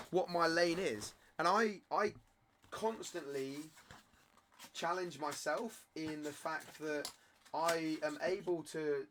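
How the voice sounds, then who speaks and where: A man who streams and sells cards, talking earnestly to camera in a rambling way, his voice croaky from a cough. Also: on the high side, a bit bright, a little hiss or hum. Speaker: card-selling live streamer. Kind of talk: candid motivational talk to camera.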